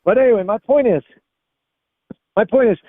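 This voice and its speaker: High-pitched opinionated man, muffled audio. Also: moderate pace, husky, dry recording. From Spain. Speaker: caller. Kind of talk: opinionated political conversation. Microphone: phone or voice call.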